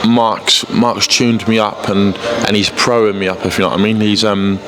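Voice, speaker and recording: Casting: young fighter in his early twenties, low-ish voice, chatty and loose, outdoors with traffic noise. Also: steady tone, moderate pace. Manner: chatty, repeats words, mate and you know what I mean. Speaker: boxer. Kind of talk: casual interview.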